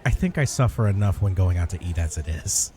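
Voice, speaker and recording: Guy acting as a chatty awkward nice male cafe customer, warm relaxed voice, bouncy intonation, roleplay audio. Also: low voice, brisk. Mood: chatty, upbeat. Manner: hesitant, rambling, good-natured. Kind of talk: ASMR comedy roleplay acting.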